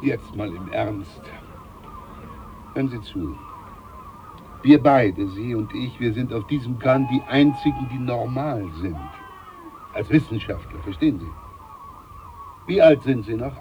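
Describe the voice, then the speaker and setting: A man's voice: medium pitch, measured pace, long pauses, muffled recording, slight background noise, natural voice. A man playing a pompous old ship's doctor. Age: older. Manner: boastful, condescending, chuckling. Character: pompous older ship's doctor, self-important. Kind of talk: radio play acting.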